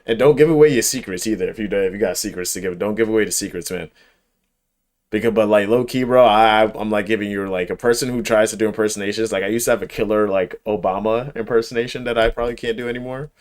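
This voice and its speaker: Low-voiced, slangy male game streamer. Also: fast talker. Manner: slangy, profane, informal; enthusiastic, emphatic, repeats himself. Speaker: livestreamer. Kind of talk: casual chat while gaming.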